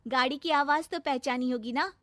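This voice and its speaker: A woman acts a young daughter-in-law in a cartoon moral tale. She sounds dutiful yet spirited, polite but firm. The voice is fairly high and recorded dry. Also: normal speed, a bit bright, no echo. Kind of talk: moral story cartoon voice acting.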